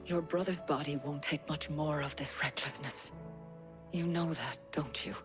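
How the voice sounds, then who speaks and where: An actress playing a blunt, caring physician in a video game, with a British accent and an admonishing manner. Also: female voice, deep voice, fairly even intonation, moderate pace, music in the background, lightly acted. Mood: stern concern. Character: stern, caring female physician, blunt and worldly. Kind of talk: video game cutscene acting.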